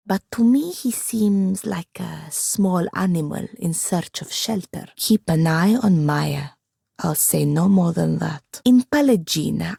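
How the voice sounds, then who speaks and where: Haughty young wizard with gravelly low-edged voice. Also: female voice, a little mellow, no room sound, studio quality, heavily acted. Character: proud, bookish, sharp-tongued young wizard woman, newly freed apprentice. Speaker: companion character in a fantasy role-playing game. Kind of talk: scripted video game dialogue.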